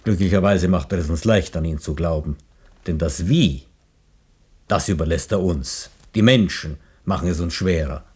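A grave, bitter man in a radio drama. His low, husky voice is measured and brooding, with a sardonic edge. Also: warm tone, full character voice. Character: grave, disillusioned believer, bitter moral accuser. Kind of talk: German radio play acting.

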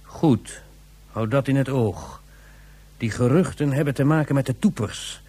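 A gruff tribesman, with a dark, rough-edged voice of middling pitch. He speaks deliberately and with some condescension, as a character in a radio play. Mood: wry, guarded.

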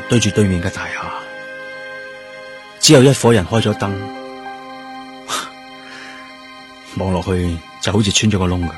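A brooding young male detective, played by a radio actor, speaks in a low voice over clear music. He is subdued, grieving and introspective, carrying his weariness into every line. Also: lightly acted. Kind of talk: Cantonese radio drama acting.

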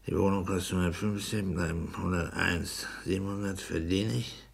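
An actor in a 1960s German radio play, playing a smug, lecherous middle-aged petty official with a pompous, sly manner. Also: male voice, low voice, steady tone, normal speed, gravelly. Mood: calculating, pleased. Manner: pompous, sly, matter-of-fact. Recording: noisy 1960s radio recording.

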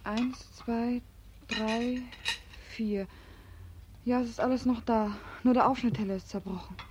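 An actress playing an anxious young daughter of a household in 1940s German radio drama style. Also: female voice, fairly high pitch, fairly even intonation, a little slow, darkish tone, natural voice. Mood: anxious relief. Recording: noisy vintage radio, off-mic.

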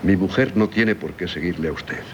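An actor playing a middle-aged, devoted and sorrowful doctor husband in a radio drama, solemn and theatrical, with a Castilian Spanish accent. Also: male voice, deep voice, normal speed, close to an everyday voice. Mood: indignant. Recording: slightly noisy 1970s radio recording.

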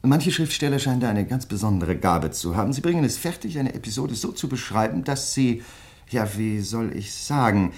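An actor playing a polite, insistent crime novelist in a German radio play, speaking in a measured, deliberate way. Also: male voice, medium pitch, expressive, a little mellow, natural voice. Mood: polite, probing. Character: courteous, insistent male crime novelist probing a fellow writer.

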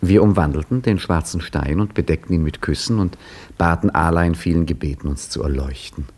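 A man's voice: low voice, a little fast, a little mellow. An actor playing Hakim, an ironic storyteller who also speaks in his own story, delivering dry, theatrical lines in a 1950s radio play. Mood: wry. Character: Hakim, wry storyteller looking back on his youth, lively and ironic. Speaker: narrator and protagonist.

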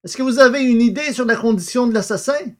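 An actor playing a curious gentleman friend who narrates a French detective story and its dialogue. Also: extremely high pitch, fast talker. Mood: animated. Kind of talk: scripted literary narration with dramatised dialogue.

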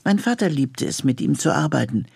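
A man's voice: medium pitch, expressive, fairly quick, creaky, darkish tone, slightly rough, no room sound, studio quality. A man presenting a scripted introduction to a radio series.

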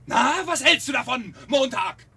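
An actor playing a taunting, theatrical and menacing fire chief in a German radio play from 1970. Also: male voice, very high voice, animated, unhurried, gravelly, pushed and bright, slightly rough, heavily acted. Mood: mocking, goading. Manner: mocking, needling, theatrical.

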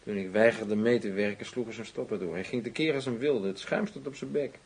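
A man's voice: lowish voice, brisk, slightly rough. An actor playing a concerned, blunt male colleague in a radio drama, probing and serious. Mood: urgent warning.

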